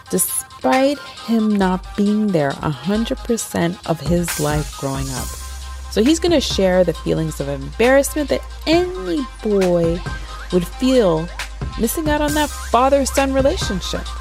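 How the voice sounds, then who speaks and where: A woman hosting a podcast, reading her intro in a sassy, playful manner. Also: mid-range voice. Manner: sassy, playful, lively delivery. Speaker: podcast host. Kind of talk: upbeat scripted podcast intro.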